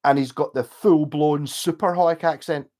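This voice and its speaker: A man's voice: a little high, fairly even intonation, a little mellow. Chatty Glasgow man, rambling. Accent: Glasgow Scottish. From Scotland. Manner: rambling, chatty, swears and laughs, slows down when asked. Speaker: streamer reading chat. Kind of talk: casual chat.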